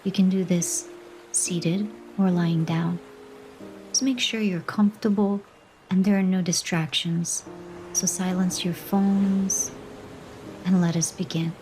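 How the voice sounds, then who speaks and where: A woman leading a guided meditation in a gentle, unhurried manner, pausing between short soothing phrases of instruction. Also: fairly low pitch, steady tone. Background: background music.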